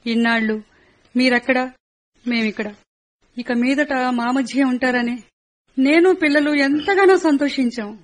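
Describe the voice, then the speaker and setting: A woman's voice: high-pitched, a little slow, long pauses, rough recording. A woman playing a worried soldier's wife. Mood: pleading. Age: middle-aged. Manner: worried, earnest, confiding. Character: anxious middle-aged soldier's wife. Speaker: narrator and lead. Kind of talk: radio play acting.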